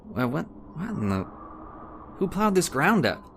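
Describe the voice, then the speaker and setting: An actor playing a cold, condescending middle-aged scientist husband in an old-time radio horror drama. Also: male voice, fairly high pitch, big pitch swings, unhurried, a bit bright, a little hiss or hum, heavily acted. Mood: bewildered, agitated. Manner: condescending, stern, impatient.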